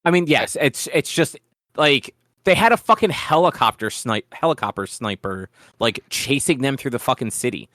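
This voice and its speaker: A man's voice: mid-range voice, animated, normal speed, a bit bright, no room sound, clean studio sound. Casual, profane man chatting about films. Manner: rambling, profane. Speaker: podcast co-host. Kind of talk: casual film discussion chat.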